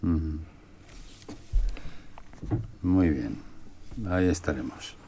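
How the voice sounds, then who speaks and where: A man's voice: a bass voice, very slow, warm tone, slight background noise. A man hosting a radio interview, asking plain, inquisitive questions.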